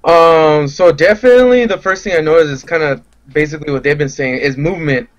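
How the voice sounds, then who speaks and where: A man's voice: fairly high pitch, brisk, dull audio. A man giving his impressions in a casual discussion as a guest on a gaming podcast.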